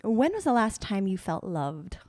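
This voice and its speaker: A woman's voice: medium pitch, big pitch swings, a little mellow, dry recording, studio quality. A young woman from the Philippines co-hosting a casual couple's podcast, reading out questions and chiming in supportively. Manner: supportive, reads out questions, quick affirmations. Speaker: podcast co-host.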